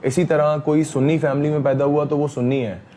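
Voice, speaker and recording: A man, speaking emphatically as an interview guest, repeating himself and often pausing on fillers. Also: fairly high pitch, level tone, warm tone, slight background noise. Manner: emphatic, repetitive, frequent fillers. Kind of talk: heated interview talk.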